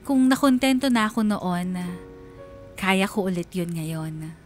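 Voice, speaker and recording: Radio drama lead, female actor: lovelorn young woman, mid-pitched, earnest confessional narration over music. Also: expressive, measured pace, natural voice. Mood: confessional, yearning. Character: lovelorn young woman secretly in love with best friend's girlfriend.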